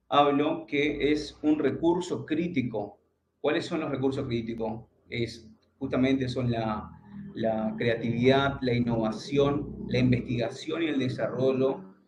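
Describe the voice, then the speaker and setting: A man from Argentina with a northeastern Argentine accent, giving a lecture by video call as a lawyer, pausing often with fillers and restarting sentences. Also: medium pitch, darkish tone. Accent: northeastern Argentine Spanish, from the Paraguay border. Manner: hesitant, many "eh" fillers and restarts. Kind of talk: virtual conference talk. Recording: echoey room, dull lo-fi sound.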